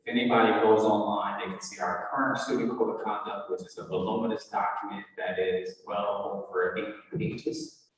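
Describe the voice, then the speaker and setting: A man with a low voice delivers a formal presentation in the manner of a school administrator explaining policy. He sounds far from the microphone, and his words ring through a large, very reverberant meeting room. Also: level tone, moderate pace. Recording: very echoey, reverberant hall, distant mic.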